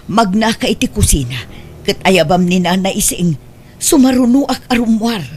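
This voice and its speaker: Protective mother, played by an actress, Ilocano audio drama: mid-pitched, anxious, tender, slight background noise. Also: animated, moderate pace, darkish tone, lightly acted.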